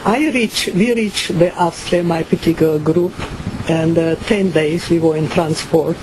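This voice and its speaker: An older woman from Ukraine with a Ukrainian accent, giving slow, halting personal testimony full of fillers and pauses. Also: fairly low pitch, noisy background. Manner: many pauses and fillers, non-native phrasing. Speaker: survivor giving a talk.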